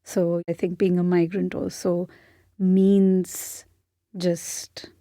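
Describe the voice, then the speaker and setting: A young woman from India speaks with an Indian accent, musing aloud and restarting her thoughts as she answers. Her voice sits a little low and sounds mellow and warm. It is recorded dry, with no room echo. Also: flat delivery, very slow. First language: Hindi. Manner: thoughtful, searching, with frequent restarts. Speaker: podcast guest. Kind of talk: reflective conversational interview.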